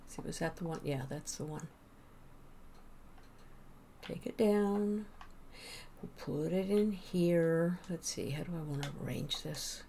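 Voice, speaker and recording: A woman streaming a game, chatting casually with viewers and rambling as she thinks out loud. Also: low-pitched, slow, vocal fry, long pauses, slight background noise. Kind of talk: casual gameplay chat with viewers.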